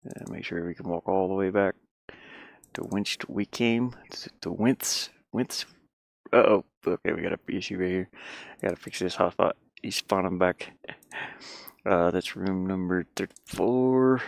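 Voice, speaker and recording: A man with a fairly low voice and a gravelly fry talks his way through a task on screen. His delivery is casual and halting, often muttered to himself, and the sound is close and dry. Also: dry recording. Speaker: hobby game developer. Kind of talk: casual think-aloud screen-recording commentary.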